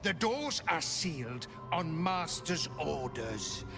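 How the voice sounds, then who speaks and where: An actor playing a cruel undead kennel master in a video game, taunting and creepily servile. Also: male voice, a little high, big pitch swings, creaky, heavily acted. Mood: grim, obedient. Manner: drawn-out, creepy, taunting, speaks of himself in third person. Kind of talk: video game villain acting. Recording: game music under the voice.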